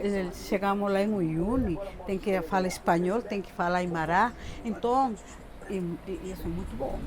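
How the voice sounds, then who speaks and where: An older woman from Bolivia with a Bolivian accent, talking haltingly in an interview, often repeating words and adding tag questions. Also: mid-range voice. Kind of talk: casual interview talk.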